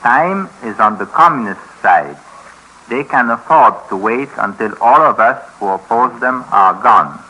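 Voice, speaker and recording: An actor playing a grave, educated escapee from communist Eastern Europe, speaking formally and deliberately in a 1950s radio docudrama. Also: male voice, mid-range voice, animated, sharp tone, husky, exaggerated voice. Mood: solemn warning. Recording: noisy vintage radio recording.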